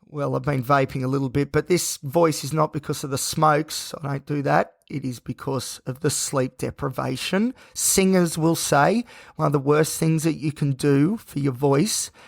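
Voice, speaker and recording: A man hosting a solo podcast, talking in a rambling, tired, self-reflective way with a croaky, hoarse voice. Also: fairly high pitch, normal speed, no echo, studio quality. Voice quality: gravelly. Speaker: podcast host.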